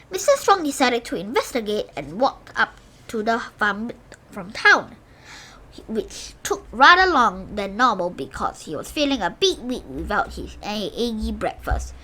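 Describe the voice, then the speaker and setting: A woman's voice: fairly high pitch, big pitch swings, measured pace, pushed and bright. A woman reading a children's storybook aloud with playful, emphatic expression. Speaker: storybook reader.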